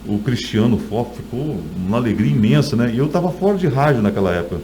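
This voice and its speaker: A man's voice: medium pitch, lively intonation, fast talker, warm tone, slight background noise. A middle-aged man with a Brazilian accent, an author telling stories in a conversational interview.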